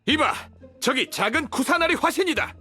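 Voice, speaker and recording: Alarmed guard, raspy, sharp, very high-pitched, barking orders, video game acting, background music. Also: male voice, lively intonation, moderate pace, full character voice. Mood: urgent. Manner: shouting orders.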